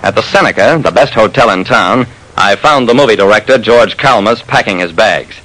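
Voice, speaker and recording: A man's voice: on the low side, lively intonation, normal speed, fairly bright tone, rough recording. A man narrating a classic radio detective drama as its lead, in a wry, dramatic first-person storytelling manner.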